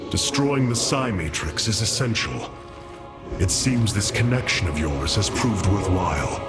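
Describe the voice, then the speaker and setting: A man's voice: low voice, expressive, a little slow. A man playing a noble, resolute alien warrior leader in a game cutscene, with stately, earnest and formal speech. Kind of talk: dramatic game cutscene acting. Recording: loud music behind the voice.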